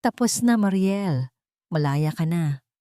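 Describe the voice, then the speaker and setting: A woman's voice: lowish voice, expressive, takes it slowly, warm tone, no echo, clean studio sound. A woman voicing a protective, serious male hero with a resolute manner in a romance story. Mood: reassuring. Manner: hard, resolute.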